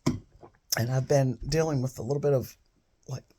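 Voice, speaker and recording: A woman in her fifties, hoarse and froggy from a cold, chatting casually to camera at home and rambling off into digressions. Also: a bass voice, moderate pace, mellow, slight background noise. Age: 50s. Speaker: vlogger.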